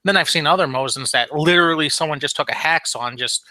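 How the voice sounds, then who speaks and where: A man's voice: a little high, quick pace, sharp tone, no room sound, low-quality recording. A man chatting casually as a podcast co-host, rambling along with frequent ums and restarts. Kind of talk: casual chat about firearms.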